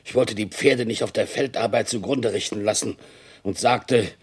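A man's voice: lowish voice, normal speed, slightly rough. An actor playing a beaten, loyal farmhand in a radio drama, telling his side in a subdued, earnest, honest way. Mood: earnest recounting. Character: battered, loyal farmhand, sheepish but stubbornly honest. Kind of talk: German radio drama acting.

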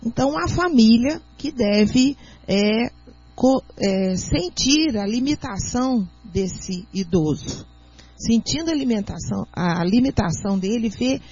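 Husky-voiced Brazilian woman, teacherly. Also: a little high, darkish tone. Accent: Brazilian Portuguese. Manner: earnest, teacherly, reads aloud slowly. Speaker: guest speaker, doctor. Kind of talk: explanatory radio talk. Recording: muffled lo-fi radio audio.

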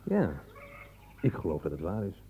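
A man's voice: deep voice, huge pitch swings, moderate pace, exaggerated voice. An actor playing an easygoing, wryly joking male police inspector in a Dutch radio play. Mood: casual, musing. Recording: faintly noisy old radio recording.

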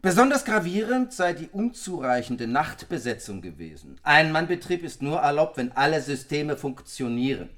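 An actor playing an insistent, probing questioner in a German radio play. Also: male voice, a little high, fairly bright tone. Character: insistent male court questioner, probing and pointed.

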